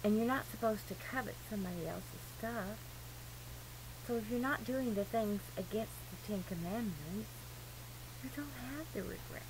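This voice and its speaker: Female, mid-pitched, emphatic amateur preacher in a loose personal monologue; noisy, humming amateur sound. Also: normal speed. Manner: earnest, emphatic, digressive. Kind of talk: rambling informal religious monologue. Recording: noisy amateur recording with clear hum.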